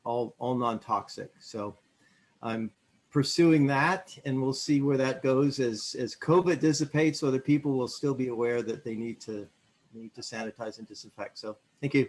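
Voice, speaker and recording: A man's voice: mid-range voice, fairly even intonation, moderate pace. A man in his fifties with a mid-range voice introduces himself over a video call. He hesitates often and pads his sentences with fillers. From Michigan. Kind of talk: self-introduction.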